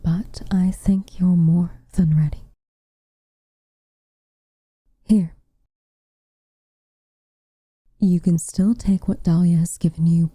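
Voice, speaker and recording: A woman playing a tender, protective witch with a dry teasing streak in an intimate ASMR roleplay, speaking softly and breathily, close to the mic. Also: fairly low pitch, no room sound. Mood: gentle, hesitant. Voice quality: soft, breathy, near-whispered. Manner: gentle, measured, quietly teasing. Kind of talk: intimate ASMR roleplay, scripted character acting. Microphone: close studio mic, dry.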